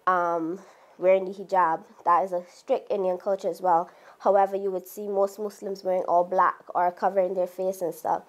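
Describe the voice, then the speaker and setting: A woman's voice: fairly low pitch, little pitch movement, normal speed. A young adult woman from Barbados with a Barbadian accent, speaking about herself as an interviewee in a documentary.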